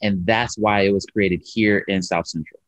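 A man's voice: fairly low pitch, steady tone, moderate pace, dry recording. A man in his thirties, a coffee shop owner, talking earnestly and with animation on a video call from his shop. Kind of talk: interview over video call. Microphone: video call webcam. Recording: slightly muffled video call audio.

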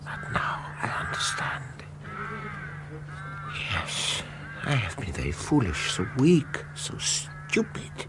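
An actor playing a troubled, evasive Norwegian professor in grief, halting and self-reproaching, in a radio horror drama. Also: male voice, on the low side, sing-song, noisy background. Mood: haunted, self-reproaching.

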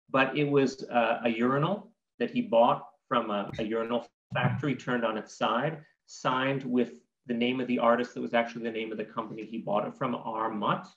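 A man in his forties explains things articulately and didactically, as a guest in an interview. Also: medium pitch. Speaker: guest art historian. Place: home room with bookshelves. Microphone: computer microphone on video call. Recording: dull, echoey video call audio.

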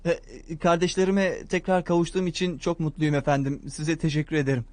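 A man's voice: a little high, little pitch movement, fairly quick, a little mellow, natural voice. An actor dubbing a humble young man in a cartoon who talks hesitantly and stammers. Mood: grateful. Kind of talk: Turkish cartoon dub.

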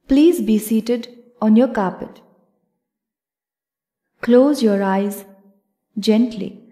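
Casting: female yoga nidra guide, calm and measured, unhurried delivery, soft-edged relaxed tone. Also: on the high side. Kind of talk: guided meditation narration.

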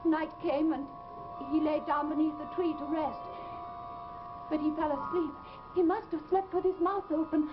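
An actress playing a fearful, tormented young woman in an old television melodrama, speaking in an earnest, plaintive, halting way. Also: sits high, fairly even intonation, over music, lightly acted. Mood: haunted, grave. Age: young adult. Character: tormented, fearful young woman who believes she is possessed. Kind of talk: 1950s live television melodrama acting. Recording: muffled, noisy old TV soundtrack.

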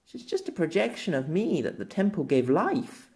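A man's voice: on the high side, sing-song, normal speed, darkish tone. A man voicing an earnest, apologetic fantasy woman in an audiobook, explaining and pleading as she says farewell. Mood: pleading, wistful.

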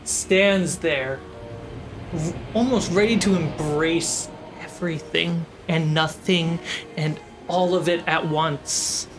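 Slow-paced man narrating a fantasy game. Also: fairly high pitch, music in the background. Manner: slow, suspenseful phrasing with pauses. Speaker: game master.

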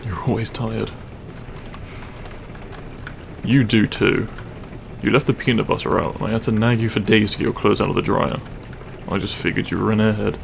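A man playing a grumpy but secretly caring half-dragon roommate in an audio roleplay, gruff, weary and blunt. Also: fairly low pitch, a little hiss or hum. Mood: gruffly concerned. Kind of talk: audio roleplay acting, spoken to the listener.

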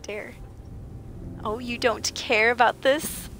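A woman streaming a game, slightly raspy and under the weather, chatting playfully with viewers and joking in mock outrage. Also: a little high, steady tone, takes it slowly, over music. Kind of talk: casual gaming chat with viewers.

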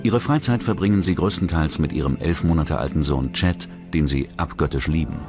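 A man's voice: a bass voice, a little fast. A man delivering scripted narration for a true-crime documentary in the role of narrator. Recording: narration over music bed. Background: music.

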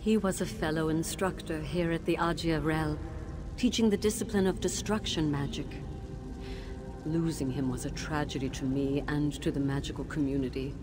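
An actress voicing the ghost of a tormented woman teacher in a video game, speaking in a measured, grave and sorrowful way. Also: sits low, music in the background, lightly acted. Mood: grateful. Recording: game audio with steady background noise.

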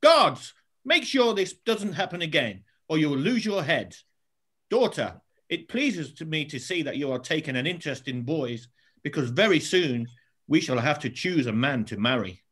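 A man's voice: fairly high pitch, very animated, moderate pace, a bit bright, husky, exaggerated voice. A man playing an imperious but fond father and emperor in an amateur pantomime read-through, declaiming in a stately way. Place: indoors at home, on a video call.

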